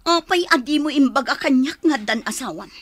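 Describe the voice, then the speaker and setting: An actress playing a hurt, indignant young office worker betrayed by a married lover in an Ilocano radio drama. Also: female voice, high-pitched, animated, fairly quick, a bit bright, no room sound. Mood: hurt, accusing.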